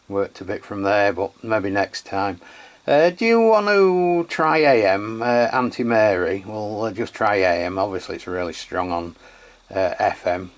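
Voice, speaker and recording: An English man with an English accent, chatting casually with fillers while testing a CB radio. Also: on the low side. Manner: relaxed, hesitant, many fillers. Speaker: CB radio reviewer. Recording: radio on-air test.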